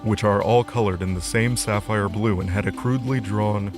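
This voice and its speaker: Male narrator, lowish register, scripted fantasy storytelling, prominent music bed. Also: steady tone, moderate pace. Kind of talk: scripted audio drama narration.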